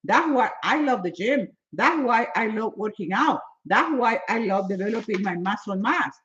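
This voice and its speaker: A woman's voice: mid-range voice, animated, normal speed, a bit bright, slight background noise. Emphatic woman in her seventies, lo-fi. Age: 70s. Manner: emphatic, repetitive, non-native phrasing. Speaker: fitness motivational speaker. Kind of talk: live-stream chat answering viewers.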